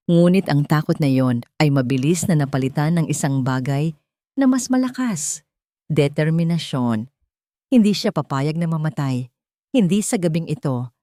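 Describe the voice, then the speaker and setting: Low-voiced woman narrating a dramatic story. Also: darkish tone, no echo, clean studio sound. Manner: deliberate, suspenseful storytelling with short dramatic phrases. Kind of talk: dramatic scripted narration of a romance thriller story.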